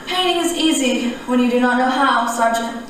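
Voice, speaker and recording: A woman playing an aloof, dreamy young artist in a stage drama, speaking in measured, formal, cryptic philosophical lines. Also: a little high, very echoey, far from the mic, slight background noise, natural voice, poor audio. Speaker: amateur stage actor. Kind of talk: radio-style stage drama.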